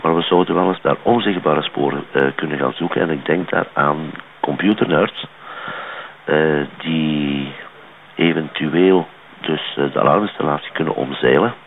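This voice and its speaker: An extremely low-voiced man with a Flemish accent, thinking aloud as a caller. He comes through a narrow phone line with some noise. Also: normal speed. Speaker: listener phoning in a tip. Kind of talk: spontaneous theorising. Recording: noisy, tinny phone line.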